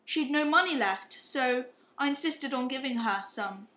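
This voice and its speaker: A guilt-ridden young gentleman drinker voiced by a woman in an amateur radio play. The voice is high and bright in a roomy recording, halting and ashamed as it confesses. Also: lively intonation. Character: guilt-ridden, heavy-drinking young upper-class man.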